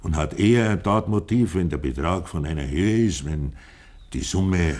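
An actor playing a polite male police inspector who questions in a formal, hesitant, measured way, in a 1950s German radio play. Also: low voice, lively intonation, darkish tone. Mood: formal, careful.

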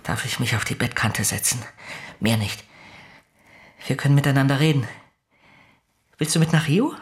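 A man acts a lovestruck institution director with a mid-pitched voice. He is intimate, pleading and floridly poetic, in the manner of a radio drama. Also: moderate pace, close to an everyday voice. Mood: infatuated, intimate. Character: lovestruck, insistent male care-home director, yearning and poetic. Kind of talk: German radio play acting.

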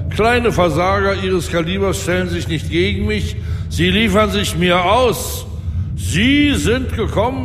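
An actor playing an arrogant, powerful crime boss in a radio drama, speaking with haughty, scornful contempt. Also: male voice, high voice. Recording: reverberant room with noisy ambience.

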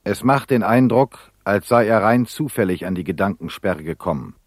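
Low, terse male investigator. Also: lively intonation, moderate pace, no echo, clean studio sound. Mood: terse insistent. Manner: clipped, matter-of-fact. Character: terse, insistent male investigator giving reports. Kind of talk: radio drama acting.

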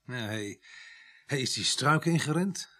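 A brusque, probing police sergeant from a radio play. The voice is husky and moves around a lot in pitch. The delivery is terse and questioning. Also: male voice, expressive, unhurried, a bit bright. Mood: subdued probing. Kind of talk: radio drama acting.

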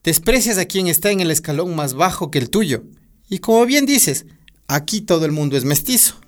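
A man's voice: a little high, expressive, moderate pace, lightly acted. A man playing an idealistic, witty mayoral candidate being interviewed in a radio drama, folksy and earnest. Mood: earnest, pointed.

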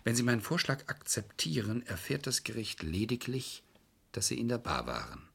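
An actor playing a shrewd, controlled defence lawyer in a German crime radio play, formally polite and insistent. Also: male voice, medium pitch, sing-song, moderate pace, gravelly, a bit bright, husky, full character voice. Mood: coolly pressing. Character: shrewd, coolly calculating male defence lawyer.

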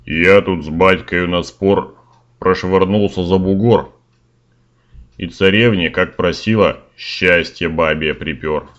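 A man's voice: low-pitched, steady tone, slow pace, fairly bright tone, lo-fi sound. A man voicing Ivan-type peasant fool, a slangy boastful lad, in rhymed comic verse for a fairy-tale audio story. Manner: slangy, colloquial rhymed delivery.

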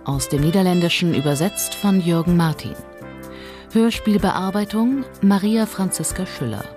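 A woman's voice: on the low side, lively intonation, moderate pace, music in the background. A woman reading scripted opening credits as a radio drama announcer.

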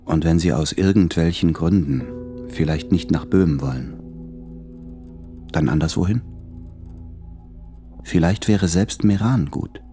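A man's voice: low-pitched, unhurried, long pauses, mellow, soft background music. A man playing a courteous, self-doubting, tender writer, reading his letters in a formal, hesitant, introspective way for a radio play.

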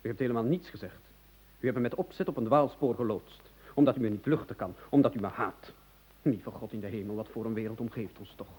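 An actor playing an excitable, gloomy musician in an absurd comic radio play, complaining theatrically and at wordy length. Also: male voice, on the low side, fast talker, husky, poor audio. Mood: indignant, wounded. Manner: theatrical, verbose, plaintive complaining.

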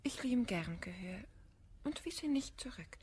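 Composed, breathy, high-voiced gentlewoman. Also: lively intonation, normal speed. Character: composed, sympathetic gentlewoman confidante, gently sensible. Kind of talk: German radio play of classical verse comedy.

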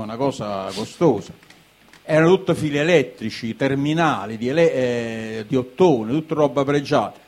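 A man's voice: mid-range voice, a little mellow. A man with a southern Italian accent ranting informally in a colloquial, rambling, ironic way, slipping into dialect and asking rhetorical questions. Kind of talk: informal spoken rant, half in dialect.

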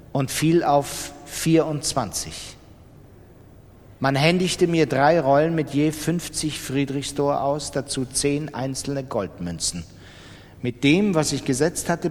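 A man with a standard German accent reading literary prose as a dramatic radio narration. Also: a little high. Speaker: literary reader in a radio production. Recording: studio reading with long reverb.

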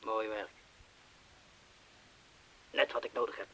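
Een acteur die in een hoorspel een gespannen, vastberaden inbreker speelt, kortaf en dringend, met een hese stem. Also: male voice, on the low side, flat delivery, slow, full character voice, low-quality recording. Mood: grim, determined. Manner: terse, urgent, hushed at times. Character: tense, determined burglar who knows bombs, loyal to mate. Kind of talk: Dutch-language radio drama acting.

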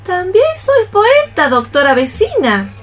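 A woman's voice: very high voice, huge pitch swings, measured pace, a little hiss or hum, full character voice. A woman playing a very old, lame, practical cockroach neighbour in radio theatre, exclaiming theatrically in rhymed verse. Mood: brisk, coaxing.

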